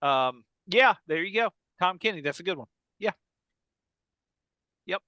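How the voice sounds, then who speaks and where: A man hosting a casual comedy discussion, thinking out loud in a halting way and repeating himself. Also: sits high, lively intonation, no room sound. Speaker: podcast host.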